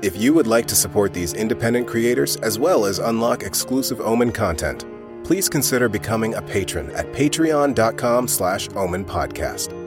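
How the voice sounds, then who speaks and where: A man's voice: on the low side, lively intonation, moderate pace. A man announcer reading scripted credits at the end of an audio drama podcast. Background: music.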